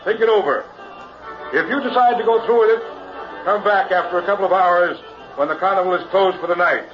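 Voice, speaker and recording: An actor playing a sinister, theatrical carnival hypnotist professor with grandiose, coaxing patter in an old radio drama. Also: male voice, high-pitched, fairly quick, close to an everyday voice. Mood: impatient, commanding. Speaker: stage hypnotist. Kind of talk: 1960s radio drama acting. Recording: muffled vintage radio, music behind.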